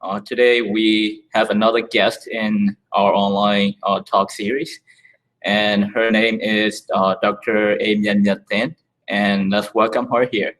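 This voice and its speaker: A man's voice: on the low side, level tone, fairly bright tone, rough recording. A man hosting an online interview series, asking questions with frequent fillers. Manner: halting, many fillers. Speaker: host. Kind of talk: webinar interview.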